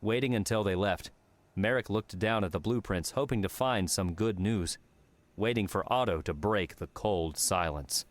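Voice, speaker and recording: A man's voice: lowish voice, normal speed, no room sound. A man reading scripted story narration as an audiobook narrator.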